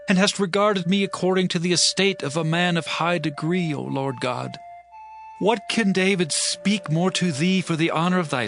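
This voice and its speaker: Man acting as high-voiced, humble praying King David. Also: close to an everyday voice. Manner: solemn, reverent, measured. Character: devout, humble king praying reverently to God. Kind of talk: dramatized scripture reading, prayer. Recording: background music under the voice. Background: music.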